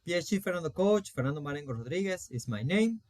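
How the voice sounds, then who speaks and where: A man in his forties from Argentina with an Argentine Spanish accent gives an informal online lecture as a coach, phrasing things in a halting, deliberate way. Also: a little high, animated, a little mellow, no echo. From Salta, Argentina. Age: 40s. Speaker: spiritual coach teaching an online course.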